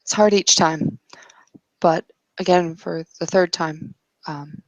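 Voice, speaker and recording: Low creaky-voiced woman narrating haltingly. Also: steady tone, dry recording, dull audio. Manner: halting, tentative, piecing sentences together. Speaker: roleplaying game player. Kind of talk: improvised tabletop roleplay narration.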